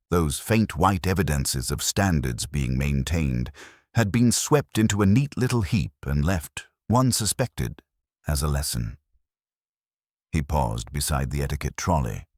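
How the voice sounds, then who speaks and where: A man reading scripted literary mystery narration in a measured, dry, wry manner. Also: sits low, no room sound.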